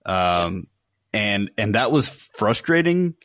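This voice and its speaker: A man's voice: on the low side, very animated, slow, a bit bright, no room sound, studio quality. A man co-hosting a podcast and giving his opinions in casual conversation. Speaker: podcast co-host. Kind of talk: casual chat, opinions on games.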